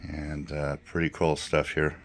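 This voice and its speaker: A man's voice: a bass voice, little pitch movement, unhurried. A man hosting a casual video tour, talking off the cuff inside a shop. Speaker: channel host filming a shop tour. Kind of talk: casual vlog narration. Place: inside a small electronics repair shop.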